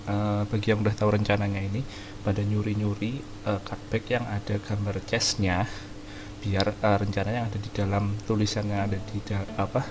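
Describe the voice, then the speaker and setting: A man's voice: on the low side, monotone, quick pace, background noise. A man with an Indonesian accent giving casual, slangy gameplay commentary as a streamer. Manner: slangy, informal. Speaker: gaming streamer.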